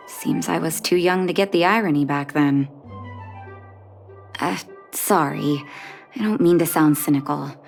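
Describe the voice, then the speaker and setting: A woman playing a gentle, caring doctor in a video game, soft-spoken and measured, with a reflective, wistful manner. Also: low voice, vocal fry, soft background music, full character voice. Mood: wistful, tender. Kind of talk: video game dialogue voice acting.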